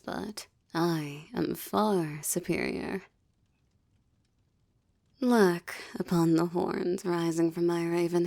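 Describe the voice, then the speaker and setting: A seductive demoness, acted by a woman, gloats over a captive in an intimate roleplay drama. She speaks slowly in a dark, mellow voice with a gravelly creak. Her haughty, teasing lines often slip into breathy whispers. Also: on the low side, heavily acted.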